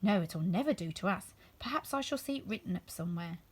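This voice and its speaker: A woman's voice: medium pitch, animated, quick pace, darkish tone, husky. A woman playing a curious, chatty young storybook girl who wonders aloud to herself. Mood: musing. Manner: wondering, self-questioning.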